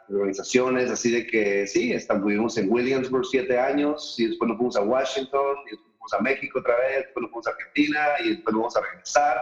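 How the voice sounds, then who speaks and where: Podcast guest, Mexican man, 40s, relaxed and rambling, echoey lo-fi audio. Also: mid-range voice. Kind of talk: casual conversation. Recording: roomy dull lo-fi audio.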